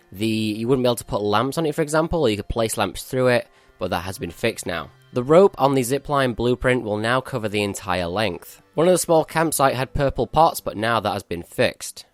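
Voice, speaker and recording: A man's voice: mid-range voice, expressive, normal speed, few pauses, no echo, faint music behind. A male gaming channel host giving a matter-of-fact, explanatory walkthrough of a game update.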